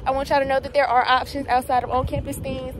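A woman's voice: high-pitched, fast talker, over music, rough recording. A young college woman, chatting expressively to her phone camera in a casual vlog. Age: young adult. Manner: chatty and expressive, Southern slang. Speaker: college student vlogger. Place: campus, car and outdoors, mixed with voice-over. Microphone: phone held at arm's length.

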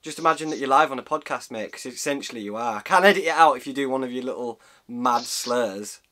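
A man's voice: medium pitch, quick pace, bright, forceful tone. A British man in his thirties chatting casually and jokily with a mate, indoors in a small cabin. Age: 30s. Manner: jokey, matey. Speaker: vlogger interviewing a friend by phone. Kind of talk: casual chat, banter.

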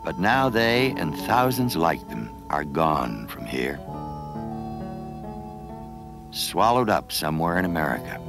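A man's voice: rumbling low, very animated, normal speed, vocal fry, music in the background. A man reading scripted narration as the narrator of a documentary.

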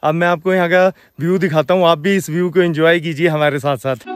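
A man's voice: a little high, fairly even intonation, a little fast, dry recording, studio quality. A man from India narrating a travel vlog casually and enthusiastically, mixing English words into his speech and addressing viewers directly. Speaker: travel vlogger. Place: outdoors on mountain roads and in orchards.

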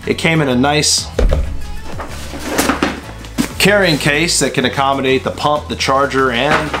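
A man's voice: mid-range voice, moderate pace, loud music behind the voice. Casual man reviewing a tool. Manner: conversational, relaxed, with fillers. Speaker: HVAC technician reviewing tools. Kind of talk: casual product review vlog. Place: small indoor workshop with bookshelves.

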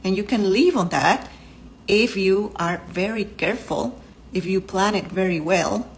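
A woman's voice: medium pitch, a little slow, a little hiss or hum, poor audio. A woman in her thirties whose first language is Spanish, speaking English with a Spanish accent. She talks to the camera at home and explains health topics in an earnest way, listing terms one by one. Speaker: vlogger and doctor. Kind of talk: casual talk to camera. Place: home living room, indoors.

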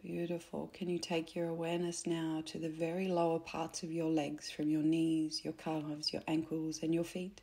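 A woman guiding a meditation in a soft, gentle, unhurried way, with phrase endings that turn breathy. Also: low-pitched, completely flat delivery. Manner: gentle, unhurried, instructing softly with pauses. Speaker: meditation guide. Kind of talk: soothing guided meditation narration.

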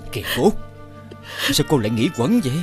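An actor playing a stern but caring, comforting man with a husky voice in an animated folk tale. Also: medium pitch, brisk, soft background music, close to an everyday voice. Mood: concerned.

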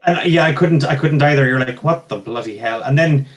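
A man's voice: medium pitch, fairly quick. A man, chatting about books in a rambling, hesitant way that doubles back on itself. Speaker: book club panelist. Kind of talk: casual book discussion.

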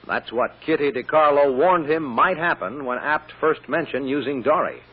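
A man's voice: on the high side, moderate pace. A man announcing an old radio serial, narrating in dramatic, polished phrases. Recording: dull old radio recording.

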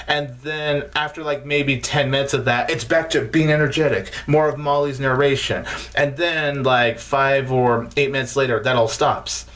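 A man's voice: a little high, a bit bright. A man in his twenties reviewing movies casually to camera, leaning on emphatic words, recorded indoors in a small room. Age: 20s.

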